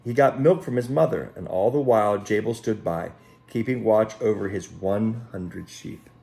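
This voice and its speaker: A man reading a children's story aloud in an expressive, dramatic way, pausing to speak directly to young listeners. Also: lowish voice, vocal fry. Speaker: Sunday school storyteller. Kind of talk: animated children's story read aloud with asides. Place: at home.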